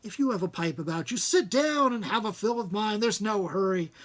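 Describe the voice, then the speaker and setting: Man playing a fussy, comfortable hobbit gentleman. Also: sits high, animated, a little fast. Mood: cheerful. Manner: polite, chatty, dismissive of adventure.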